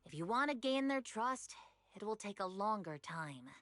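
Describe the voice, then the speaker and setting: A woman's voice: mid-range voice, lively intonation, moderate pace, fairly bright tone, no echo, natural voice. A woman voicing a cheerful, kindhearted pet shop clerk in a video game, friendly and earnest. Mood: earnest, kind.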